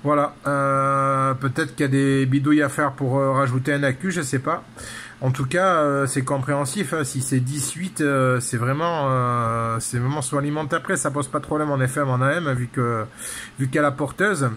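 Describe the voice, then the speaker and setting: A man's voice: medium pitch, steady tone, moderate pace, a bit bright. A man talking casually and technically as an amateur radio operator, in a hesitant, rambling way full of repeated tag words. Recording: clear background noise.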